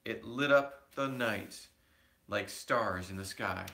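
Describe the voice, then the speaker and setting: Male parent reading to children: expressive, measured, forceful clear-edged tone, explains things simply along the way. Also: on the low side. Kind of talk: animated storybook reading with asides to children.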